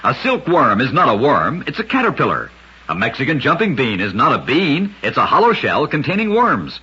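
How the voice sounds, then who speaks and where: A man with a husky, raspy voice delivering emphatic, dramatic scripted storytelling as an old-time radio host. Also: mid-range voice, wildly swooping pitch, normal speed, sharp tone. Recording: dull lo-fi vintage radio.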